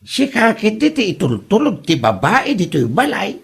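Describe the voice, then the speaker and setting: A man's voice: sits high, huge pitch swings, moderate pace, creaky, breathy edge, full character voice. An actor playing a suspicious, scolding old father exasperated with his idle son in an Ilocano comedy drama.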